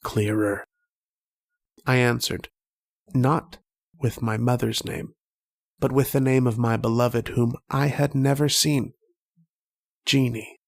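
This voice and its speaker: An actor playing a lovestruck, haunted young man who tells of his dreams in a slow, wistful, literary style of old-time radio drama. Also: mid-range voice, steady tone, creaky. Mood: dreamy, solemn. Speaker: actor and narrator in a horror radio play.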